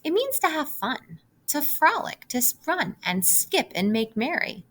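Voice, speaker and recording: Woman voicing an earnest young pig, lively high swoops. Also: a little slow, a little hiss or hum, full character voice. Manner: pleading, argumentative, emphatic. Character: young, lonely, earnest male farm pig.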